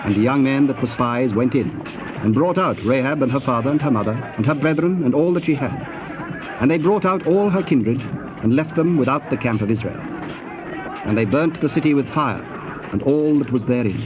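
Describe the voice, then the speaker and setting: A man's voice: medium pitch, lively intonation, a little fast. A man narrating dramatized scripture in a solemn, formal storytelling manner. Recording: dull, noisy old cassette tape.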